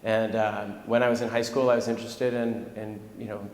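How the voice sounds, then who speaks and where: Flat-voiced man in an echoing hall. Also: fairly low pitch, brisk. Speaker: veteran. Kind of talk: recorded interview reflection.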